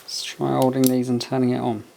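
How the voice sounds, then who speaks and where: A man of medium pitch gives a casual hands-on review, thinking aloud in a muttering way and reading passages from instructions. The recording has clear background noise, with small clicks around his voice. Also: monotone, brisk, warm tone. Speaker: product reviewer.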